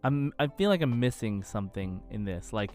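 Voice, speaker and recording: A man's voice: on the low side, animated, normal speed, a little mellow, soft background music. A man co-hosting a podcast, chatting casually through a quiz. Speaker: podcast host.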